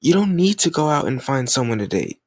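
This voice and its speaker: A man's voice: mid-range voice, big pitch swings, a little fast, dry recording. A man playing a jealous, stubborn young lover in an intimate romantic audio roleplay, speaking emotionally and insistently in casual language. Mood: jealous, indignant. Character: jealous, stubborn young male lover confessing his love.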